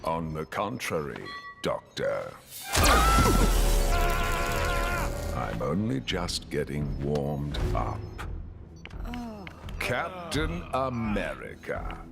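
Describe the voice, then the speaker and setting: An actor playing a grandiose conquering time-travelling supervillain in a video game, with pompous, theatrical delivery. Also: male voice, a bass voice, wildly swooping pitch, slow pace, gravelly, loud music behind the voice, heavily acted. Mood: smug menace.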